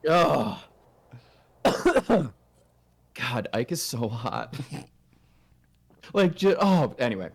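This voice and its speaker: A man chatting casually on a live game stream, excitable and jokey. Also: mid-range voice, extremely slow, long pauses, husky. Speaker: streamer voicing game characters.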